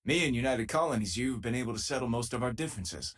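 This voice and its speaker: An actor playing an easygoing, loyal and wry space miner companion in a video game, with a husky, conversational delivery. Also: male voice, medium pitch, animated, quick pace, a bit bright. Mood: friendly, casual.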